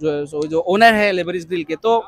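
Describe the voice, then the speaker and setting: A man's voice: sits high, dry recording, studio quality. A man in his thirties with a Hyderabadi accent, hosting a casual vlog at a crowded expo with a handheld mic, jokey and teasing. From Hyderabad, India. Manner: jokey, teasing, quick to laugh. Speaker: vlogger host. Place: crowded indoor expo hall and outdoor food stall.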